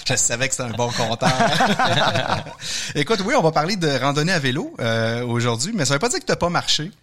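A man's voice: on the high side, normal speed. Male podcast host, jokey, laughs a lot. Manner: laughs often, lively and joking. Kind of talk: casual chat.

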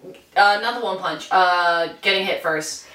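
A woman's voice: fairly low pitch, normal speed, sharp tone. A young woman co-hosting a podcast, chiming in with quips in casual chat. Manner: chimes in with quips, laughs a lot. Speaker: podcast co-host. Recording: echoey room, mic at a distance.

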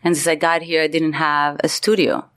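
Candid low-voiced Venezuelan woman. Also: flat delivery, normal speed, a bit bright. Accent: Venezuelan Spanish. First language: Spanish. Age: middle-aged. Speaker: guest, artist. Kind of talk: casual interview storytelling.

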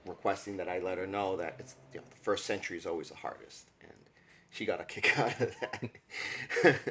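Young New guy, low voice, animated food-podcast co-host who laughs a lot. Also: slight background noise. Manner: animated storyteller, laughs often, gets loud when excited. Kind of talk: casual chat.

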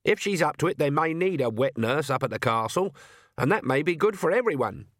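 A man's voice: a little high, lively intonation, fairly quick, fairly bright tone, dry recording, studio quality, voice pushed up. A man voicing Granny Weatherwax, a blunt, firm older woman witch, in an audiobook. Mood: stern. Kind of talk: audiobook character voice.

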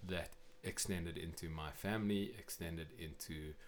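A middle-aged man with a South African accent talking reflectively as a podcast guest, often pausing and repeating phrases. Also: low-pitched, steady tone, slight background noise. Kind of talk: conversational interview talk.

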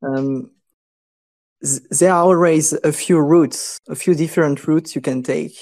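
A man with a French accent, a guest on a casual podcast, unhurried and halting, laughing often. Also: on the high side, steady tone, a little mellow.

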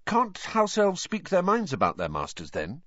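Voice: mid-range voice, wildly swooping pitch, normal speed, a bit bright, no room sound, muffled recording, voice pushed up. A woman voicing a curious, plain-spoken boy in a storybook, with a casual, matter-of-fact manner.

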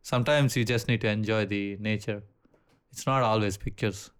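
A man with an Indian accent, co-hosting a casual podcast chat, relaxed, swearing freely and often restarting his sentences. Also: on the low side, normal speed, clean tone. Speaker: podcast co-host.

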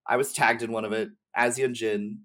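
A man's voice: mid-range voice, steady tone, moderate pace, a bit bright. Man, young adult, mid-range voice, casual chat, effusive, slangy, lots of "like". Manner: effusive, slangy, uses "like" heavily. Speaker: podcast guest, game streamer.

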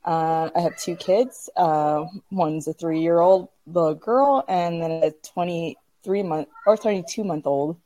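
A woman guest in a relaxed podcast conversation. Her voice sits low and has a mellow, warm tone. She grew up in Canada after being born in Kenya and talks in an informal, conversational way. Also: unhurried, few pauses. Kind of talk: casual conversational interview.

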